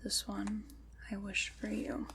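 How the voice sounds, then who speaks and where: A woman playing a gentle, solemn witch in an ASMR roleplay, whispering in a breathy, soothing, ceremonial way. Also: mid-range voice, monotone, slow pace, a little hiss or hum. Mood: gentle, reverent. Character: gentle, solemn witch leading a Samhain ritual.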